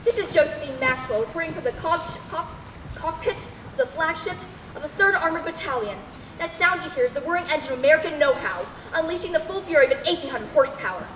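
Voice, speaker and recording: Young woman as a rapid-fire, grandiose war reporter. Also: high-pitched, lively intonation, fairly bright tone. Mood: grandiose, earnest. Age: young adult. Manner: florid, declaiming. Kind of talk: comic old-time radio broadcast acting. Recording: distant, echoey hall, noisy background.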